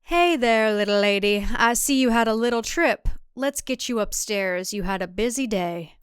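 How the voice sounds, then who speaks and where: A woman narrator acts out a domineering husband from a cult thriller. The delivery is patronizing and syrupy, smoothly clear, with controlled anger beneath the politeness. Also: a little high, expressive, normal speed, dry recording, clean studio sound, lightly acted. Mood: patronizing soothing. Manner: condescending, syrupy. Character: controlling husband, falsely soothing, patronizing, with simmering rage.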